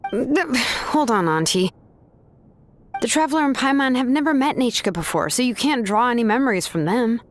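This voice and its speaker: An actress playing a cool, practical young artisan in a video game, laid-back and matter-of-fact. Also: female voice, a little high, lively intonation, moderate pace, slight background noise, lightly acted. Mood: serious, guarded. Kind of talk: dramatic video game voice acting. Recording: clear music behind the voice.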